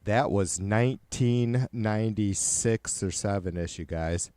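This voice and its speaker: A man with a raspy, nasal voice, rambling profanely and jokingly on his livestream. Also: fairly low pitch, measured pace, dark tone. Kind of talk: casual rambling livestream chat.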